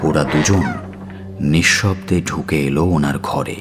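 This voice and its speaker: A man narrating a scripted audio story in a measured, dramatic style. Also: very deep voice. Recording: music bed under narration.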